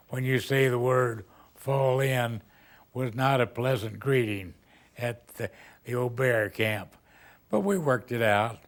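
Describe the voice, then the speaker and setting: An older man from Texas, a retired band director, telling rambling, folksy stories in an interview. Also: mid-range voice. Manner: rambling, folksy storytelling with chuckles. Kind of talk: reminiscing interview answers.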